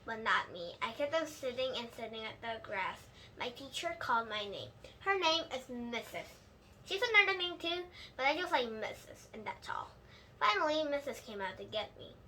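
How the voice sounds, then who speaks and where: A woman reading a children's book aloud in an expressive storytelling style, with a few slips. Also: on the high side, moderate pace. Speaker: storybook reader. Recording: clear background noise.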